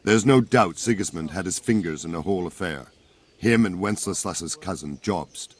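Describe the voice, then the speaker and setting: A low male voice as a seasoned soldier in a medieval game drama. He is plain-spoken and grave, and explains matters patiently, as if to a younger man. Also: moderate pace. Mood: grave, instructive. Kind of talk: video game cutscene acting.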